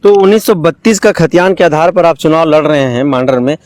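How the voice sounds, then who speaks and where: A man's voice: on the high side, fairly quick, a little mellow, no room sound. A man working as an interviewer, putting long, wordy questions that repeat and build on their phrases.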